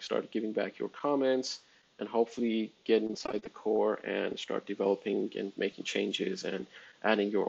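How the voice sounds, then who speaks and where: A man whose first language is Farsi, walking through a software release in a relaxed, rambling way, with frequent fillers. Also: on the low side, vocal fry, breathy edge, highs cut off. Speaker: software developer presenting a release. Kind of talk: casual screen-share walkthrough.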